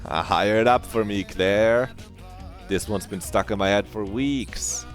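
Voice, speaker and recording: A man's voice: lowish voice, a little slow, soft background music, natural voice. A man voicing a breezy, teasing teenage girl. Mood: excited.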